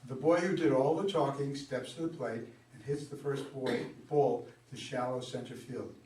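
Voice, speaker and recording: A man's voice: medium pitch, normal speed, a little mellow. A man reading stage directions as narrator at a staged play reading. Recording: echoey room, far from mic, background noise.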